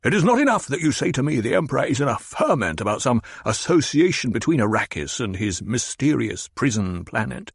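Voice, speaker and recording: A man's voice: medium pitch, sing-song, brisk, vocal fry, hardly any pauses, breathy edge, no echo, clean studio sound, exaggerated voice. A man voicing a fat, petulant, demanding baron who blusters and growls. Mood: blustering.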